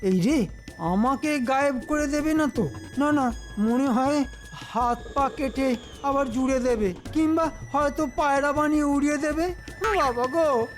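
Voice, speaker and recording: An actor voicing a scheming, easily frightened royal minister in a Bengali-style cartoon, with a theatrical, exclamatory delivery. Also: male voice, very high-pitched, unhurried, faint music behind. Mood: nervous. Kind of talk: cartoon voice acting.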